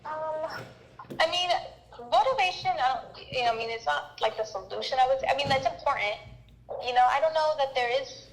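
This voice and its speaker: A young woman teacher, a native Spanish speaker, with a fairly high, gravelly voice. She talks easily and casually, peppering her sentences with "like" and "you know". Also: expressive, a little fast, slight background noise, low-quality recording. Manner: chatty, full of "like" and "you know". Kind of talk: casual interview guest.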